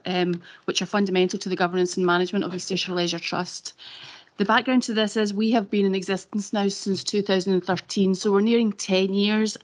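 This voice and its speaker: A woman presenting a report at a council meeting, measured and formal, with frequent ums and restarts, speaking at a desk microphone in a council chamber. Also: mid-range voice. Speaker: leisure trust officer presenting a report.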